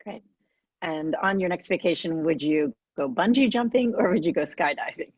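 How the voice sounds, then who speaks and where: Husky-voiced woman guest host. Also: fairly low pitch, dry recording. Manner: friendly and brisk, with light laughs. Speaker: guest host, writer and editor. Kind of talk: casual interview questions. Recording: dull, dry lo-fi audio.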